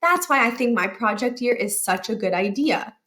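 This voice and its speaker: A woman's voice: on the high side, lively intonation, normal speed, pushed and bright. A woman voicing a young schoolboy prankster who pleads eagerly and persistently in a children's storybook. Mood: wheedling. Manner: wheedling, persistent. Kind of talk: children's storybook read-aloud.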